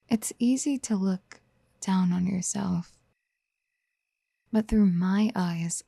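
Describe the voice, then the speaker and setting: A woman acting a doting, possessive motherly figure in a soft-spoken ASMR roleplay, soothing with breathy half-whispers. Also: medium pitch, no echo, studio quality, full character voice.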